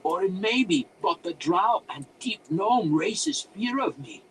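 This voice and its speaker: Cranky old wizard, high-pitched, loud, paranoid, rambling, audiobook fantasy villain. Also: male voice, animated, slow, slight background noise, heavily acted, rough recording. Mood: smug musing. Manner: shrieking, rambling, broken grammar. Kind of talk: audiobook character voice.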